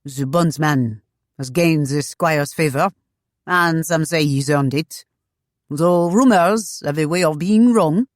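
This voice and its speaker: Woman doing a snippy french husband from a novel, low voice, sardonic, dry close sound. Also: slow, no room sound. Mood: testy. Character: testy, gossiping French husband, a gentleman.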